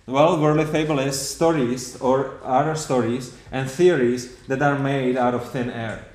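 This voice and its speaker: A man's voice: medium pitch, steady tone, a little slow, darkish tone, reverberant. A man with a Czech accent preaching a sermon to a congregation, earnest and repetitive, with many rhetorical questions and restarts.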